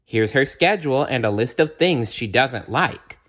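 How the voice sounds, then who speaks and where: A man's voice: medium pitch, expressive, moderate pace, a bit bright, pitched above the performer's own voice. A man voicing a polite, anxious, fussy woman who owns a dog in a storybook.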